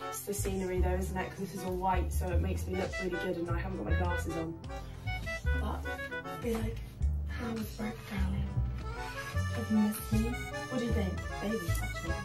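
A woman's voice: medium pitch, fairly quick, pauses often. Giggly young woman, echoey room. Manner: giggly, animated self-talk. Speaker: lingerie reviewer. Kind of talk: casual try-on chat. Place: bright bedroom indoors. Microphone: camera across the room. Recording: echoey room, distant mic, music behind.

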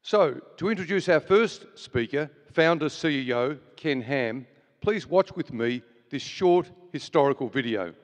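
A man's voice: fairly high pitch, expressive, a little slow, lo-fi sound. A man hosting a church event, welcoming the crowd and leading an opening prayer, earnest and full of small restarts. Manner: earnest, with frequent fillers and restarts. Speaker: event host. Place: large hall with live audience. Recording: reverberant large hall.

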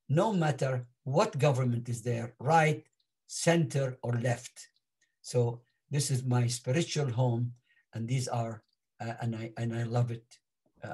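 A man in his eighties with an Iraqi Arabic accent, whose first language is Arabic, earnestly telling his life story on a video call with halting restarts. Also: mid-range voice, darkish tone, slightly rough. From Iraq. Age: 80s. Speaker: interview guest. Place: home, in front of a virtual backyard background.

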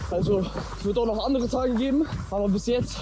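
A man's voice: very high voice, a little fast, noisy background. A man vlogging as he runs a long trail outdoors, upbeat and talking while moving. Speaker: long-distance runner vlogging his trek. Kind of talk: vlog narration while walking.

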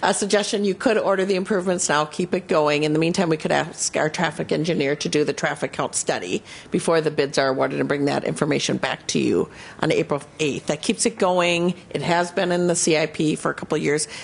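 A woman's voice: low-pitched, fairly even intonation, a little fast, creaky, husky. A woman city manager advising a council with practical suggestions.

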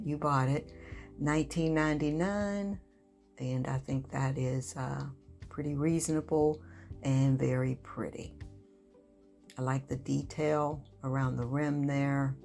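Very low-voiced gravelly woman vlogger. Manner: relaxed, chatty, many ums and uhs. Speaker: home decor vlogger. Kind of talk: shop-with-me voice-over.

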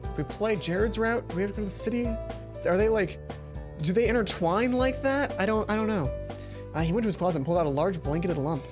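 A man's voice: high-pitched, lively intonation, fast talker. A young man streaming a visual novel, reading the story aloud on a headset mic with playful, stumbling asides. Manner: playful, self-mocking, stumbles over words. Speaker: let's play streamer. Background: game music.

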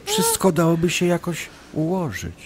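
An actor playing a smug, obsessive doctor in an audio drama, taunting and insinuating as a manipulative ex-lover. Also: male voice, a little high, wildly swooping pitch, normal speed, a little hiss or hum. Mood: hurt, accusing. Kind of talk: audio drama acting, dialogue.

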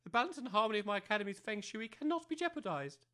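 A woman's voice: medium pitch, sing-song, racing, a bit bright. A woman playing a pompous, snobbish new-age academy head in an amateur comedy audio drama, speaking precisely in lofty jargon. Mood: haughty.

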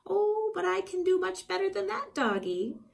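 A woman voicing a gleeful little female witch in a storybook, with a high, screechy voice. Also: big pitch swings, moderate pace, vocal fry, full character voice. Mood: coaxing. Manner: sing-song chanting of rhymes.

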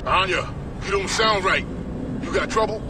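An actor voicing a boisterous, big-hearted soldier in a video game, exuberant and slangy on a radio call. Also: male voice, sits high, normal speed, heavy background noise, lightly acted. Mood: concerned, upbeat. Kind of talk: video game cutscene acting.

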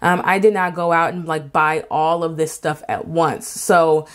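A woman's voice: low voice, steady tone, a little slow. Chatty woman giving vendor advice. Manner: chatty, many fillers and restarts; emphatic, insistent advice. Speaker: artist and craft vendor. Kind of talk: casual advice vlog.

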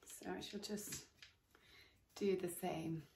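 A woman's voice: fairly low pitch, steady tone, slow pace, creaky, a little mellow. A woman in her forties chatting through a cleaning demonstration at home, using lots of filler words while she works. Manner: friendly how-to chat with filler words, working as she talks. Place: sunlit garden room in a home. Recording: echoey room with faint noise.

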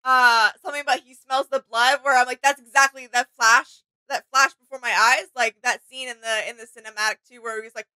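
Female co-host, twenties, high and bright, eager casual fan chat. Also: fairly even intonation, brisk, hardly any pauses, rough recording. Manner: enthusiastic, giggly. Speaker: reaction video co-host. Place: small home room with computer desks, indoors.

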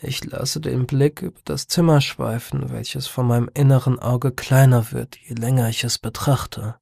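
A man narrates a horror audiobook in the first person. His voice sits at a middle pitch and follows a prepared script. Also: fairly even intonation, moderate pace, creaky, a little mellow.

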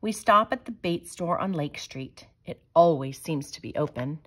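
A woman reading a children's picture book aloud in a measured, expressive way. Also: low-pitched, a little hiss or hum. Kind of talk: storybook read-aloud.

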